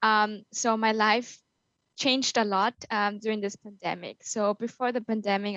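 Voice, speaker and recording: A woman's voice: on the high side, fairly bright tone, no room sound. A young woman from Austria, a guest on an interview, talking fluently and upbeat with many fillers. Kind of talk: casual interview. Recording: slightly dull, lo-fi call audio.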